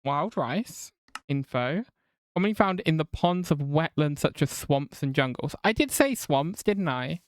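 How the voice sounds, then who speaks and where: An English woman with an accent that mixes south and north, chatting away on a live game stream and reading her chat aloud. Her voice is smooth and clear, recorded close and dry with no room sound. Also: sits low, lively intonation, a little slow, no room sound. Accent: mixed southern and northern English. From England. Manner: chatty, swears casually, reads chat aloud.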